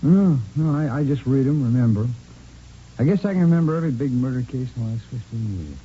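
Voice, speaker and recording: An actor playing a talkative, cocky murder suspect in a vintage radio crime drama, casual and rambling, with repeats and restarts. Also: male voice, medium pitch, animated, brisk, breathy edge. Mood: chatty, self-satisfied. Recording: muffled, noisy vintage radio recording.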